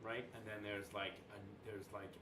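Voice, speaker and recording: A man's voice: on the low side, level tone, normal speed, reverberant, far from the mic, noisy background. A man narrating as game master of a tabletop horror game, rambling with many fillers and restarts.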